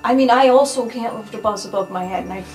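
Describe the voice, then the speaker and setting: A woman's voice: on the high side, fast, a little mellow, soft background music. A woman chatting casually as a player in an improvised roleplay game, thinking aloud with some hesitation.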